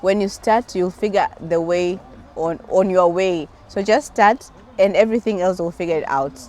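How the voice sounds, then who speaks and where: A woman's voice: fairly low pitch, normal speed, darkish tone. A woman with a Tanzanian accent answering questions in a casual interview, with a playful, effusive manner. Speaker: interview guest, businesswoman and engineer.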